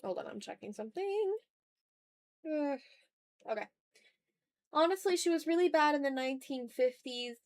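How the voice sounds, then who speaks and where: A woman's voice: high voice, expressive, normal speed, pauses often, no room sound, clean studio sound. A woman chatting informally on a livestream while she draws, muttering asides to herself as she works. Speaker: art streamer. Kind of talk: casual livestream chat while drawing.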